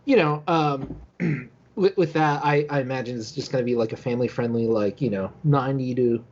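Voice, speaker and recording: Fast-talking husky man, casual podcast chat. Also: medium pitch, darkish tone. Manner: rambling, informal, hedging with "like" and "you know". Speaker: podcast co-host. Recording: dull, lo-fi audio.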